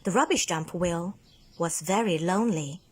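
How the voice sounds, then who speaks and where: A woman narrating a children's bedtime story in a deliberate, dramatic manner, stretching words for emphasis. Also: fairly low pitch, slightly rough. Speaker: speech and drama teacher reading a story.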